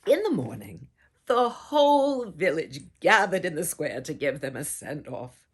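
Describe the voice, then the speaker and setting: A woman performing a children's storybook in a theatrical, dramatic way, with hushed asides and doting warmth toward her listeners. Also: low voice, very animated, a little slow, breathy edge. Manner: addresses listeners with doting endearments. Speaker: storybook reader and host.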